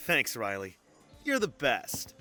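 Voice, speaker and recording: A tired, courteous police detective. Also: male voice, mid-range voice, huge pitch swings, takes it slowly, over loud music. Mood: friendly. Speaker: actor in audio drama. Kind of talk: naturalistic audio drama dialogue.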